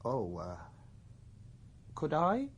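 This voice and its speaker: A whimsical, husky, animated middle-aged Englishman. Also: medium pitch, extremely slow, heavily acted. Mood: tentative. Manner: hesitant, playful with fanciful words. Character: mild, whimsical middle-aged runaway shopkeeper seeking work. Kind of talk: naturalistic radio drama acting.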